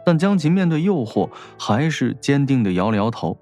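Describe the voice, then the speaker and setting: A man's voice: medium pitch, sing-song, moderate pace, darkish tone, faint music behind. A man narrating a scripted audiobook story in a wry storytelling manner.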